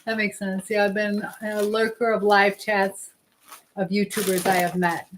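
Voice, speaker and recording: A woman's voice: mid-range voice, flat delivery, normal speed. A woman hosting a casual live stream while busy in the kitchen, chattily talking to herself and to her viewers and reading out comments. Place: indoors in a kitchen. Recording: echoey room with clear background noise.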